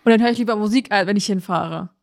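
A woman's voice: medium pitch, fast, no room sound, clean studio sound. A woman co-hosting a podcast, chatting colloquially and often checking that she is being understood. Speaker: podcast co-host. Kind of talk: casual chat.

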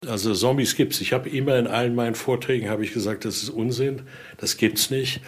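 A man's voice: medium pitch, fairly even intonation, a little fast, a little hiss or hum. A man speaking spontaneously in an interview as an expert. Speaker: ethnologist and museum director interviewed.

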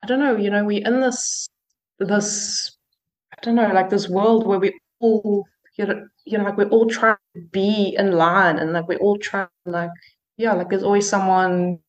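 A woman's voice: mid-range voice, steady tone, unhurried, rough recording. A woman musician being interviewed, talking in a hesitant way with many fillers and restarts. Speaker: musician guest. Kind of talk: casual interview.

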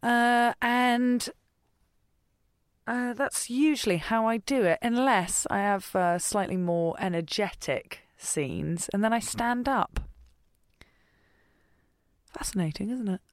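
A woman's voice: a little high, slow pace, long pauses, smooth, dry recording, studio quality. A woman rambling casually in a self-deprecating, dryly joking way, a voice actor recording in a small cupboard full of coats. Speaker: voice actor in an audio fiction podcast. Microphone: pop shield, tripod-mounted recorder.

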